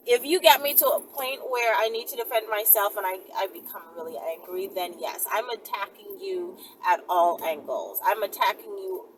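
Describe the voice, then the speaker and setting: A woman hosting a casual question-and-answer vlog, talking emphatically into her phone from inside a car, often repeating her words as she goes. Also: medium pitch. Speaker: channel host answering viewer questions. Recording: distant phone mic with faint background noise.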